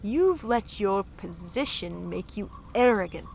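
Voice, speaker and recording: A woman's voice: mid-range voice, animated, a little slow, gravelly, a little hiss or hum. A woman playing a haughty, savage countess in a sci-fi audio drama, languid, mocking and menacing. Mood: cool disdain. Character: haughty, savage countess, cool sardonic schemer. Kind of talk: full-cast sci-fi audio drama acting.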